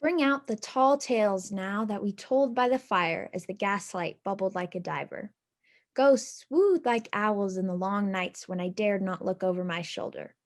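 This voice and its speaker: A woman giving a dramatic, expressive reading of a nostalgic literary story with measured phrasing. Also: medium pitch. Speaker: reader in a readers theatre.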